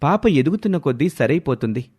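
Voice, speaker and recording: A man voicing a frank, calm doctor in a storybook tale, explaining things in a measured, advisory manner. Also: on the high side, husky. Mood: serious, reassuring. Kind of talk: animated storybook character acting.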